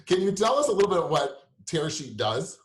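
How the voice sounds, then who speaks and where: A man's voice: a little high, sing-song, moderate pace. Man hosting an interview, roomy echo. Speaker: show host interviewing a guest. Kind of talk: conversational interview.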